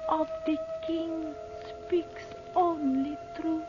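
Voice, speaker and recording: An actress playing an ancient Egyptian princess who guards a sacred flame, halting and solemn in old radio drama style. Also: female voice, very high voice, big pitch swings, heavily acted. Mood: solemn trance. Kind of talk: old-time radio serial acting. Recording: dull old radio recording, loud music.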